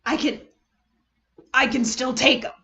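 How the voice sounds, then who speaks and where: A woman voicing a brave, weakened teenage boy hero with earnest, halting bravado in a dramatic story reading. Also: sits high, fairly even intonation, pushed and bright, dull audio, pitched above the performer's own voice. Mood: earnest reassurance.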